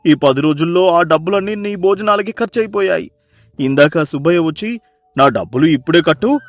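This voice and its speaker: Man acting a crafty, high-voiced poor villager.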